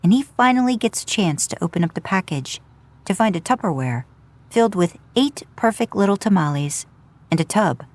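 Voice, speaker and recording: A woman's voice: mid-range voice, expressive, normal speed. A woman reading a story aloud in an informal, conversational audiobook style. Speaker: podcast host narrating fan fiction.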